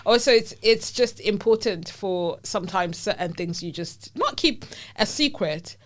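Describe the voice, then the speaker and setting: A woman's voice: medium pitch, expressive, a little slow. A woman from Zimbabwe co-hosting a podcast, in her thirties, chatting casually with bold opinions and frequent laughter. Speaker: podcast co-host. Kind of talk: casual chat.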